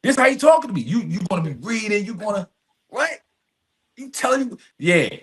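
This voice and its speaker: Guy on a podcast telling his own story, voice a bit high, slangy, emphatic, repeats stuff, real candid. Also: big pitch swings, a little fast, fairly bright tone. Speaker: podcast guest. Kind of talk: candid long-form conversation, personal storytelling.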